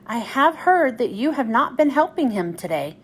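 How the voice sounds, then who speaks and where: A woman's voice: a little high, very animated, normal speed, a little hiss or hum. A woman voicing a stern, formal male railway boss who scolds his engines in a children's storybook.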